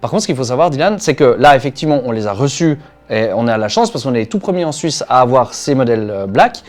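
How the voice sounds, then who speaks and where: A man speaks at a quick clip in a mid-range voice, with soft music underneath. He sounds like a channel co-host explaining gear casually and with enthusiasm. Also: medium pitch, lively intonation. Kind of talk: casual product review. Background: background music.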